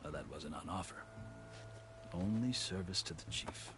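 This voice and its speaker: An actor playing a seasoned, courteous warrior envoy in a video game, a middle-aged man with a measured, formal, eloquent way of speaking. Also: low-pitched, expressive. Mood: grave, storytelling. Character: seasoned male warrior envoy, courteous, wry, tattooed veteran. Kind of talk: video game dialogue acting. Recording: game music behind the voice.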